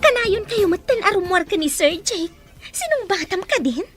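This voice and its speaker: An actress playing a teasing, gushing young friend who longs for romance in a radio drama. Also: female voice, very high-pitched, very animated, moderate pace, over music.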